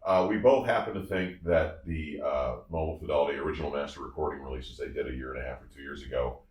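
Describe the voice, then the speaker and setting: A man's voice: rumbling low, fast, darkish tone. A man reviewing audio gear in casual, enthusiastic talk, emphatic and effusive, often repeating his phrases. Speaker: audio reviewer and show host. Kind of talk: casual enthusiastic product discussion. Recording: roomy, somewhat distant from the mic.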